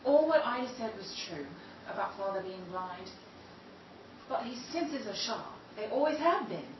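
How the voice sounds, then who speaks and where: An actress playing a bitter, estranged daughter in a 1930s-style radio drama, with sharp, indignant retorts. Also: female voice, on the high side, animated, normal speed, reverberant, distant mic, muffled recording, background noise, lightly acted. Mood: anxious.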